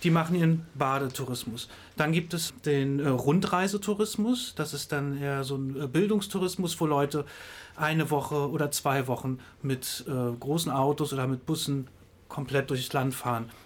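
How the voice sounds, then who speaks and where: A man's voice: a little high, normal speed. A man answering interview questions, explaining thoughtfully with frequent hesitations and fillers. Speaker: interviewed expert on tourism.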